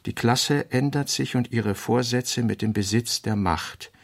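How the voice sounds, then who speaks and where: A man reads out fragmentary notes in a radio-play studio, in a measured, clipped delivery. His voice is gravelly, with vocal fry in it. He sits at a middling pitch. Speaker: narrator.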